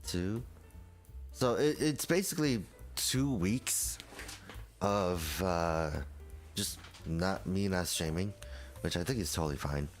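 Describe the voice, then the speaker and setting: Stuffy young guy just woke up, real low voice, rambles slow with lots of ums. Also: faint music behind. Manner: rambling, hesitant, many fillers and restarts. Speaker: streamer. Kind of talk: casual announcement to viewers.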